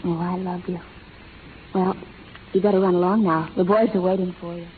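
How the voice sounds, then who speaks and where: An actress playing a gentle, earnest young schoolteacher with proper, sincere speech in an old radio drama. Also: female voice, lowish voice, level tone, moderate pace, lightly acted. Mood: loving. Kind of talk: 1930s radio drama acting. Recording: tinny, noisy 1930s radio recording.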